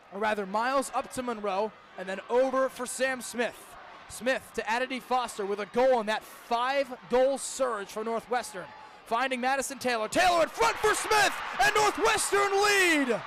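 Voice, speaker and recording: A young male radio broadcaster with an unusually high-pitched voice calls live sport. He follows the action closely in dramatic, detailed phrases. Outdoor stadium ambience sits faintly behind him. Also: pushed and bright, slight background noise. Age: young adult. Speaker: play-by-play broadcaster.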